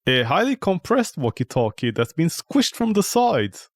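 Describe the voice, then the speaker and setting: A man's voice: fairly high pitch, sing-song, moderate pace, no room sound, clean studio sound. A man streaming a game with casual, mocking, exasperated commentary and plenty of swearing. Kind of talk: casual, profane gameplay commentary.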